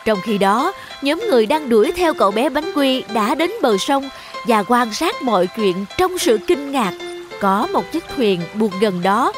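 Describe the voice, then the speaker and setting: A woman's voice: fairly high pitch, expressive, unhurried, over music. A woman narrating a scripted children's story as its storyteller.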